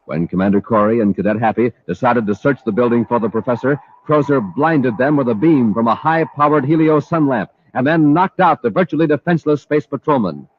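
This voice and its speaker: A man's voice: mid-range voice, a little fast, hardly any pauses. A man announcing and narrating a 1950s radio program in an emphatic, promotional way. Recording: dull old radio broadcast recording.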